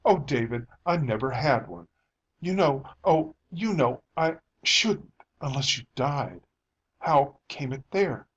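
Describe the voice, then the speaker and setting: A man's voice: mid-range voice, big pitch swings, unhurried, lo-fi sound, slight background noise, pitched above the performer's own voice. A man voicing an anxious, plaintive wife and mother who pleads tearfully in a dramatic audiobook reading. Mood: frightened, pleading.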